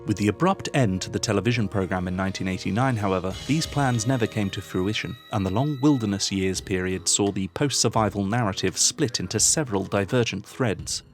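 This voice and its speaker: A man delivers scripted analytical narration in a low voice. His phrasing is formal, literary and measured, and faint music plays behind him. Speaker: video essay narrator, reviewer.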